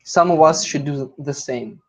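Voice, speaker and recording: Slow-talking man with a Russian accent. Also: a little high. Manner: slow, hesitant, with many fillers. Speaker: player. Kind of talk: casual chat. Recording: dull lo-fi sound.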